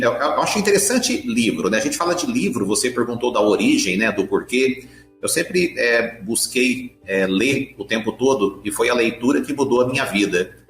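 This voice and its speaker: A man's voice: mid-range voice, fairly bright tone. A middle-aged man with a Brazilian Portuguese accent, telling his story as an interview guest in an emphatic way, repeating words for stress. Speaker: podcast guest, author and speaker. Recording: roomy echo with faint music.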